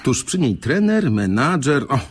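An actor playing a gushing, easily flustered reporter interviewing for a chess website in a radio drama. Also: male voice, medium pitch, sing-song, measured pace, husky. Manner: gushing, stammering when put off.

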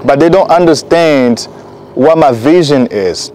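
A man's voice: a little high, unhurried, a little mellow, slight background noise, rough recording. A young man from Congo Kinshasa talking in a casual vlog, earnest and encouraging, with loose rambling sentences. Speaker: vlogger. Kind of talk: casual motivational vlog talk.

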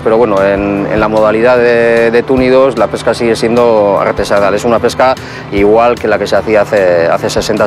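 A man with a Spanish accent from the fish market, explaining the fishing trade with many hesitant fillers, interviewed at a port. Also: fairly low pitch.

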